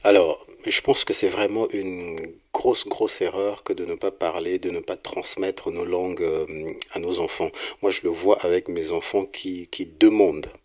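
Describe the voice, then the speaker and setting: A middle-aged man from Congo, a singer-songwriter being interviewed, speaking in a relaxed, hesitant way with many fillers and restarts. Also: deep voice, moderate pace, few pauses.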